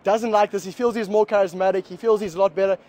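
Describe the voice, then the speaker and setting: A man's voice: high-pitched, steady tone, fast, darkish tone. A man from South Africa, interviewed on a city street, telling a story animatedly and mocking others' voices. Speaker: passer-by interviewee.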